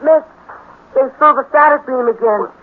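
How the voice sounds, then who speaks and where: Through a tinny vintage radio recording comes a high-pitched, scared boy sidekick. He is plaintive and reluctant, delivering glum little wisecracks in period radio-play style. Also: moderate pace, background noise. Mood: nervous. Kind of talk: 1950s radio drama acting.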